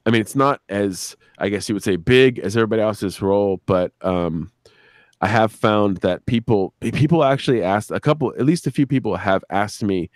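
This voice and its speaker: Low-voiced man rambling through casual stories. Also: moderate pace, no echo, clean studio sound. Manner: profane, rambling, acts out quotes. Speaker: audio drama creator and director, interview guest. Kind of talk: casual anecdotal chat on a live stream.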